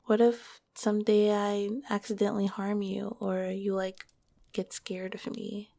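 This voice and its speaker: A woman voicing a gentle, shy female slime monster girl in an ASMR roleplay, whispering softly and soothingly close to the microphone. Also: mid-range voice, little pitch movement, unhurried, gravelly. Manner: soft whispering, soothing and hesitant.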